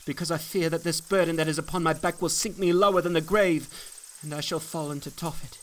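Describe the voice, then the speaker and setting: A man's voice: on the high side, fairly even intonation, a little fast, pitched above the performer's own voice, close to an everyday voice. A man voicing a distressed, burdened man in rags who pleads and despairs in archaic language, in a dramatic story reading. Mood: distressed, pleading. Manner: anguished, pleading, archaic diction.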